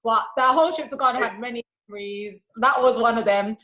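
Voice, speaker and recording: A woman tells a chatty, laughing story to a friend over a video call. Her voice is high and bright for a woman. The delivery is loose and casual. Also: quick pace, poor audio. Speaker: friend of the host.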